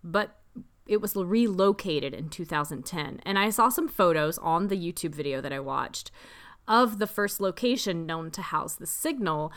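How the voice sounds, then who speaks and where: A woman's voice: fairly low pitch, expressive, normal speed, a bit bright. A woman in her thirties co-hosting a podcast in casual chat. She sometimes reads her research notes aloud. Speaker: podcast co-host.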